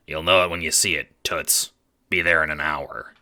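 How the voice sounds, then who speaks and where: A man playing a shady, slangy tough-guy lawman and dealer in an audio drama, with gruff talk. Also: rumbling low, fairly even intonation, normal speed, pushed and bright, dry recording, exaggerated voice. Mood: gruff, businesslike. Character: shady corrupt Dursite lawman, streetwise gangster-style dealer. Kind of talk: audio drama character acting.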